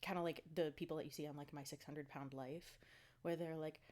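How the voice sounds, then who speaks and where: A woman's voice: sits low, fairly even intonation, a little fast, darkish tone. A woman talking casually in a video diary, a bit out of breath and congested, rambling with lots of ums and likes. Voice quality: congested, stuffy nose. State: slightly out of breath. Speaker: fitness vlogger.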